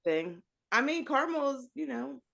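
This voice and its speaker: A woman's voice: sits high, very animated, normal speed, gravelly, a crowd in the background. A woman hosting a podcast, talking in a laid-back, frank and unfiltered way in casual chat. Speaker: podcast host.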